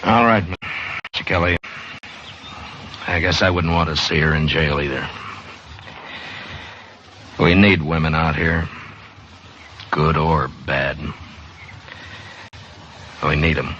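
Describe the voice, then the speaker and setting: An actor playing a stern, steady American frontier lawman in an old radio western, speaking in a measured, grave, commanding way. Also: extremely low pitch. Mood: sober, weary. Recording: muffled, hissy old radio recording.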